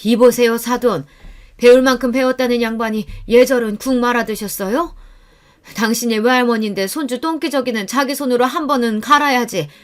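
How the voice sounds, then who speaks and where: A woman's voice: fairly high pitch, steady tone, brisk, pitched above the performer's own voice, close to an everyday voice. A woman playing a feisty, outspoken older grandmother, blunt and scolding, in a radio story drama. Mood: angry, scolding.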